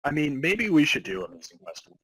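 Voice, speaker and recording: Husky-voiced man chatting casually. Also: on the high side, huge pitch swings, a little fast, a bit bright, poor audio. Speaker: podcast co-host. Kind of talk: casual chat.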